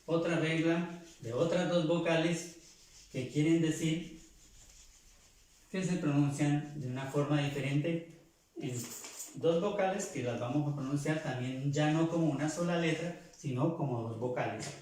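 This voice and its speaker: A man's voice: on the high side, measured pace, a little mellow. A man from Latin America teaching French pronunciation, repeating each rule and correcting himself as he goes. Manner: repeats rules, corrects himself mid-sentence. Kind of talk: explaining pronunciation lesson. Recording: very echoey room.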